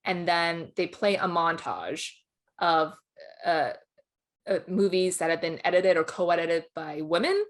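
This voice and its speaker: A woman's voice: lowish voice, a little slow. A woman co-hosting a casual film discussion, giving her opinions bluntly in an informal way. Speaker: co-host, film awards expert. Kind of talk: casual chat, film commentary.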